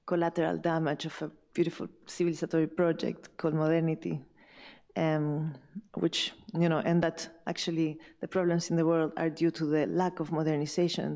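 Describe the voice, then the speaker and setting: Woman panelist, low gravelly voice, halting, thinks aloud with fillers. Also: level tone, mellow. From Chile. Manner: thoughtful, halting, many fillers and restarts. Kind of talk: round table discussion.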